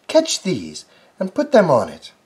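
A prim little field mouse lady, as a man puts her on chides in a raised, fussy voice. It is a gentle storybook reading with lively, swooping pitch. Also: heavily acted. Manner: high, prim, chiding. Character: prim scolding female field mouse neighbor.

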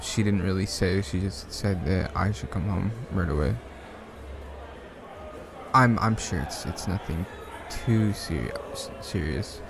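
A man acting a shy, smitten young man in an ASMR roleplay, speaking softly and hesitantly with bashful stammers. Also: fairly low pitch, background noise. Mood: apologetic, hopeful. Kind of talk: ASMR roleplay, soft one-sided conversational acting.

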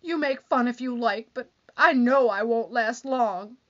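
Woman playing a high-pitched, nagging, whiny wife. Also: a little slow, fairly bright tone. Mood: self-pitying. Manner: whiny, dramatic complaining. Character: nagging, needy, easily wounded bickering wife. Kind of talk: old-time radio comedy acting. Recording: dull, lo-fi band-limited sound.

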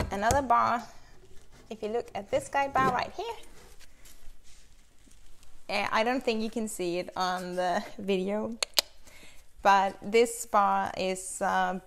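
A young woman casually demonstrating chainsaw bar maintenance in a small indoor workshop, explaining practically as she handles the tools. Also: medium pitch. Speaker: chainsaw instructor.

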